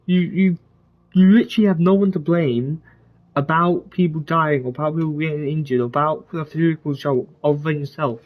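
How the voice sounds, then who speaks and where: A man's voice: on the high side, steady tone, fairly quick, narrow, tinny audio. A man giving casual, opinionated gameplay commentary as a game streamer. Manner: informal, opinionated. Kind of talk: casual gameplay commentary, reading some dialogue aloud.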